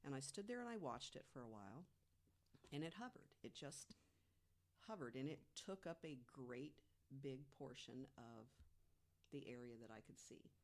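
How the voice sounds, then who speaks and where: A woman in her mid sixties with a very low-pitched voice, speaking as a podcast panelist. She tells her stories in a casual, conversational way. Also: animated, normal speed, a little mellow. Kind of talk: casual personal storytelling.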